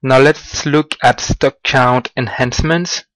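A man giving a slow, halting walkthrough of business software over a screen recording, with small grammar slips. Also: mid-range voice, fairly even intonation, no echo. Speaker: software demonstrator.

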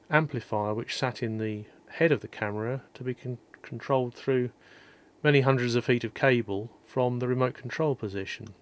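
Calm gravelly man explaining repairs. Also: mid-range voice. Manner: calm, methodical, many ums and uhs. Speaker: electronics restorer. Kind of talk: technical repair walkthrough. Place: workshop bench.

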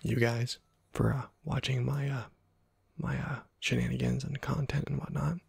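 Guy doing asmr lets play, super gravelly fry, breathy half whisper, kinda rambles and puts himself down. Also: mid-range voice, little pitch movement, normal speed, darkish tone, dry recording, studio quality. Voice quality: husky, breathy, often slipping into whisper. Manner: rambling, self-deprecating. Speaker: host of a gameplay video channel. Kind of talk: soft-spoken ASMR gameplay commentary.

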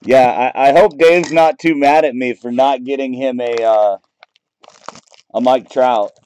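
A man in casual commentary, reading out cards as he opens packs at a table, relaxed and chatty, picked up by a camera mic. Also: medium pitch, unhurried, clean tone, rough recording. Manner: relaxed, chatty, reading out names and teams as he goes. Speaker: card break host. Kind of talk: casual commentary while opening trading card packs. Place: small room at a table, off camera.

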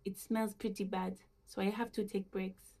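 A woman's voice: medium pitch, little pitch movement, moderate pace, warm tone, lightly acted. An actress voicing a reserved, politely hesitant gardener woman in a video game, speaking in an understated way. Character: reserved working-class female gardener, uneasy, politely hesitant. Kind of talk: video game dialogue acting.

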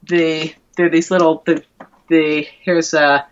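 A middle-aged woman explaining things thoughtfully and haltingly as an interview guest, over a video call. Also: low voice, level tone. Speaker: guest, verse translator and classicist. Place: indoor room at home, video call. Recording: dull, lo-fi video call audio.

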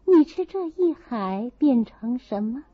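A woman voicing a proud, fastidious, aloof young nun in a novel reading, with a haughty, cutting manner. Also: high voice, sing-song, husky, dry recording, full character voice. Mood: haughty, teasing. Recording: slightly dull, muffled recording.

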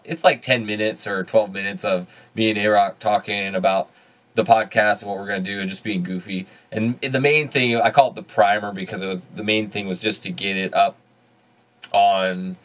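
Deep voiced guy in his 30s chatting to his webcam, rambly and upbeat, small closet studio. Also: a bit bright. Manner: rambling, self-correcting, upbeat. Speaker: video journal host. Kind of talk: casual video diary. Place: small home studio room, indoors.

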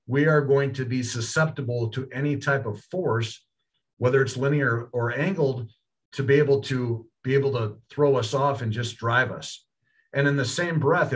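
A man's voice: mid-range voice, fairly bright tone. A middle-aged man, a college football line coach, giving a conversational clinic talk over slides from a small room on a video call, often repeating words as he restarts.

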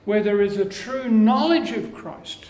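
An older man with an Australian accent preaching a sermon, slow and measured, his phrases falling away at the ends. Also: sits high, a little mellow. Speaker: pastor. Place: church room with curtains. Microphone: lapel mic. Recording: echoey church room, faint background hiss.

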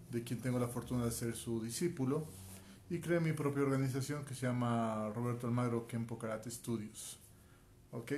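A man's voice: medium pitch, a little mellow, a little hiss or hum. A man in his forties from Quito with an Ecuadorian Spanish accent, a martial arts teacher introducing himself earnestly straight to camera, often tagging "ok?". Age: 40s. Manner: earnest, addresses viewer directly, frequent "ok?" tags. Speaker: martial arts instructor and life coach. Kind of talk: direct-to-camera self introduction. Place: small room at home, indoors. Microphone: phone camera at arm's length.

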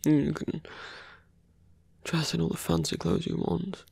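Man acting a breathy, creaky, seductive villain. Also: on the high side, level tone, a little mellow, no echo, full character voice. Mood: seductive, knowing. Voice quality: breathy, gravelly. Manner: slow, intimate, half-whispered, deliberate pauses. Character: seductive male villain, embittered slum-born fantasy thief. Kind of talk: ASMR roleplay.

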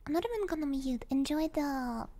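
A young woman hosting an ASMR stream, chatting playfully in a soft, breathy, girlish voice that often slips into a whisper, close on a binaural microphone. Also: high-pitched, animated, normal speed, slight background noise. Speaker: ASMR livestream host. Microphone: binaural ear microphone.

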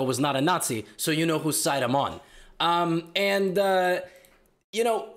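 Young man, slightly raspy from illness, higher voice, animated chess commentary, small room. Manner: animated, joking, hesitant fillers. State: hoarse from being sick. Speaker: chess video host.

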